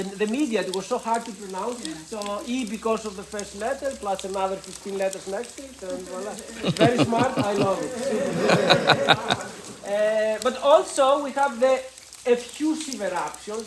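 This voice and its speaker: A fairly high-voiced woman with a Greek accent leads a group tour inside a lava cave, talking in English as a second language. She is chatty and playful, teasing her listeners and explaining things in loose grammar. A faint hiss sits under her voice. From Greece. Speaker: tour guide. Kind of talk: guided cave tour talk.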